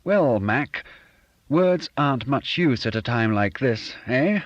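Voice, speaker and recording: Brief: narrator doing a curt, patronising chap with a fake posh lilt, pitched up and loud, dull dry recording. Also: male voice, animated, takes it slowly, no echo. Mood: bluff, patronising. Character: curt man with a fake genteel accent. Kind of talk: audiobook character voice.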